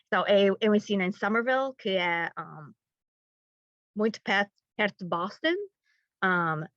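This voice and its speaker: Halting middle-aged woman, muffled audio. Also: mid-range voice, a bit bright, dry recording. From Massachusetts, United States. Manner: halting, mixes in English words. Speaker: high school language teacher presenting. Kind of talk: informal webinar presentation. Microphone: video call.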